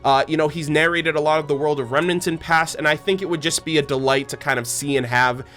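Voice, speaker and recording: A man's voice: on the high side, steady tone, quick pace, a bit bright, soft background music. A man giving casual, enthusiastic, rambling fan commentary as a channel host. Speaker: host of a fan channel about an animated series.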